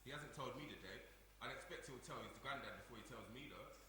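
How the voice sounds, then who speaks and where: An actor playing a defensive, casual adult son in a live family play. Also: male voice, medium pitch, fast talker, sharp tone, slight background noise, lightly acted. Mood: dismissive. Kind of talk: stage play acting, casual dialogue. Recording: echoey hall.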